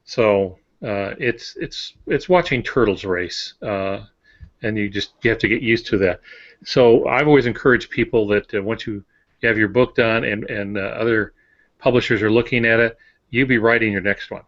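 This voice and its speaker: A man giving matter-of-fact, measured advice in casual explanatory talk, as an author co-hosting a podcast. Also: lowish voice, fairly bright tone. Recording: dull lo-fi audio.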